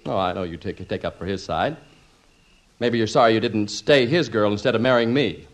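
A man's voice: fairly low pitch, brisk, close to an everyday voice. An actor playing a flustered, stubborn, put-upon husband and father with an American accent, stammering and self-pitying in 1950s radio comedy. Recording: noisy old 1950s radio recording.

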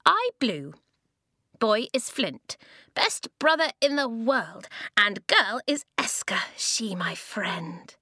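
A woman's voice: sing-song, slow, bright, forceful tone, dry recording, clean studio sound, full character voice. A woman voicing a small, scared little girl who speaks in broken, simple phrases. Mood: timid. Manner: high, childlike, dropping little words.

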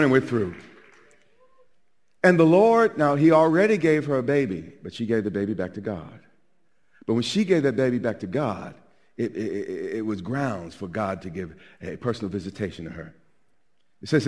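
Male pastor preaching, loud voice, pitch jumps all over, raised a bit high. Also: breathy edge. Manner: animated preaching, swinging from loud to hushed. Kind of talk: sermon.

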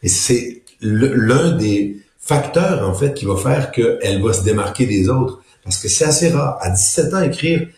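A man, a singer and vocal coach, talking in a warm, halting way in a casual interview. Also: mid-range voice, animated, reverberant. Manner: warm, halting, with repeated words.

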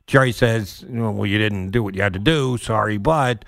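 A man's voice: on the low side, brisk, vocal fry, fairly bright tone, no room sound, clean studio sound. A man hosting a casual sports radio interview, putting questions in blunt, informal phrasing.

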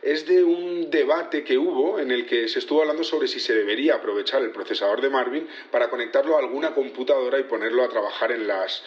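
Echoey, earnest security chief played by an actor. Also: male voice, medium pitch, quick pace, few pauses, lo-fi sound, lightly acted. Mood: hesitant, cooperative. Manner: hesitant, explanatory, polite. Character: earnest male security chief testifying in court. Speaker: witness in a trial. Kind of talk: naturalistic audio drama acting.

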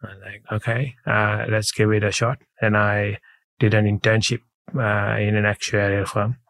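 A man from Sri Lanka telling his career story in a relaxed, matter-of-fact way as an interview guest. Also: fairly low pitch, moderate pace. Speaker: guest, head of data. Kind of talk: casual interview chat.

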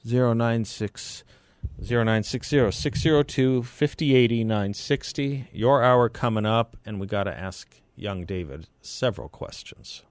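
A man's voice: medium pitch, moderate pace, smooth, dry recording. An American man hosting a talk radio show, speaking to listeners in a conversational broadcast style. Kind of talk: live talk radio chat and host-read ads.

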